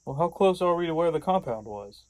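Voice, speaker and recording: A man's voice: fairly high pitch, lively intonation, fairly quick, no echo, lightly acted. A man playing a sarcastic, distrustful, impatient younger man in an audio drama, blunt and sardonic. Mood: puzzled. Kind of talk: audio fiction podcast acting.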